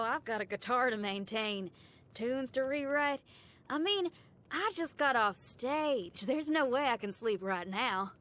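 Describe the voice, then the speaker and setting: A woman's voice: a little high, fairly bright tone. A woman playing a brash, upbeat, free-spirited young female rock musician in a video game, with a folksy, slangy and enthusiastic way of talking. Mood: relaxed, casual. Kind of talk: video game character voice lines.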